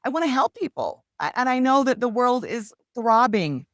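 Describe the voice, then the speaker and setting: A woman speaking as a podcast guest, telling her story in an earnest, heartfelt and animated way. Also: a little high, normal speed, no echo, clean studio sound. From United States. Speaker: podcast guest, hypnosis healer. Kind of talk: candid conversational storytelling.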